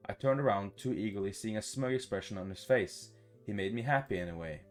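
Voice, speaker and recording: A man with a fairly low voice reads a visual novel aloud in a gaming playthrough, with clear guitar music behind him. He trips on words here and there and drops in his own comments. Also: brisk. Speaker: gaming YouTuber.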